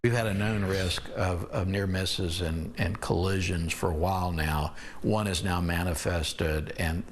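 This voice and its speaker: Low-voiced American male aviation expert. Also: fairly even intonation, unhurried, hardly any pauses, a little hiss or hum. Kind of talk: interview commentary.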